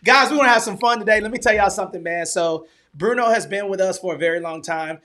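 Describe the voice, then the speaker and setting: A man's voice: sits high, fast, bright, forceful tone. A man hosting a podcast, interviewing in an informal, jovial, bantering way. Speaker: podcast host.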